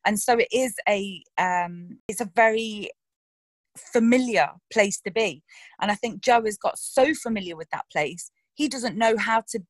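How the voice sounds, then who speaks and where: A woman's voice: mid-range voice, a little slow, no echo. A woman, an actress talking frankly and animatedly in a casual interview, swearing freely and laughing often. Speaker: actress discussing her roles.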